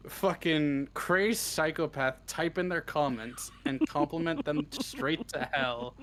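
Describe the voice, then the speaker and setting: A man's voice: a little high, expressive, takes it slowly, fairly bright tone. A man giving casual, unscripted answers as an interview guest, talking freely and swearing often. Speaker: interview guest, audio roleplay script writer.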